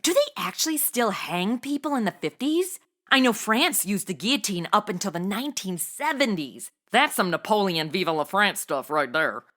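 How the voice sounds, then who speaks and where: A woman's voice: medium pitch, expressive, normal speed, a bit bright, natural voice. An actress playing a brash, nosy, flippant young modern woman in an audio comedy, talking in casual, sassy slang. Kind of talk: comedy audio drama acting.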